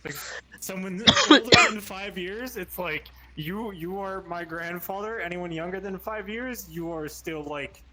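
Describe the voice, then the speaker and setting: A man in his twenties chatting casually with friends online, drawn-out and swearing freely. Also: high voice, pushed and bright, low-quality recording. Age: 20s. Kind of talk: casual chat. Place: online voice chat.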